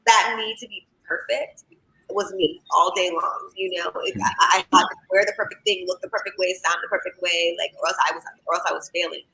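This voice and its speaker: A singer and songwriter with a raspy, scratchy voice, chatting candidly as an interview guest, rambling with many fillers and restarts. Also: mid-range voice, steady tone, fairly quick, sharp tone. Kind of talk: casual interview chat.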